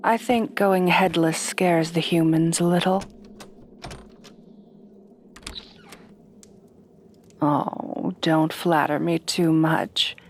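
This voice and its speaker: Woman voicing a slow, soft, refined monster bride. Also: low-pitched, steady tone, a little hiss or hum. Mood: tender, flirtatious. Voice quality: soft, breathy, often dropping to whispers.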